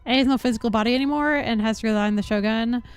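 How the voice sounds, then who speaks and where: A woman's voice: fairly high pitch, racing, clean tone. A woman livestreaming a video game, chatting casually and reacting in a giggly way. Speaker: game streamer. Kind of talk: casual livestream chat while playing. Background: game music.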